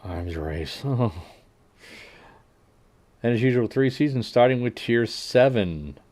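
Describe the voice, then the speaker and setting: A man hosting a livestream, casually reading game update notes aloud and adding wry asides that trail off quietly. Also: medium pitch, expressive, slow. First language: English. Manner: frequent fillers and restarts while working out numbers. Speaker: livestream host.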